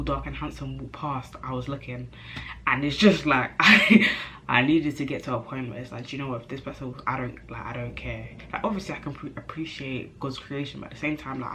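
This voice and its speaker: A young woman vlogging to camera in a chatty, animated way, her nose a bit stuffy from a cold. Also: very deep voice, a little hiss or hum. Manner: chatty, animated, self-interrupting, slangy. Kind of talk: casual chat to camera.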